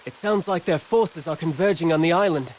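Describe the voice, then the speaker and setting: Fantasy game role: alarmed courier voiced by a man, high register, urgent delivery, slight background noise. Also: brisk, a little mellow, close to an everyday voice. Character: urgent, flustered male messenger bringing alarming news. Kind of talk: anime fantasy game voice acting. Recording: faint hiss behind the voice.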